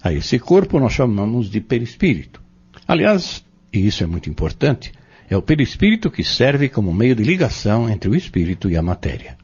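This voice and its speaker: A man playing a patient, earnest Spiritist teacher in an audio drama, explaining step by step in a measured, didactic way. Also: medium pitch, very animated, darkish tone, husky. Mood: earnest, explanatory. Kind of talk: scripted audio drama dialogue. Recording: dull lo-fi audio.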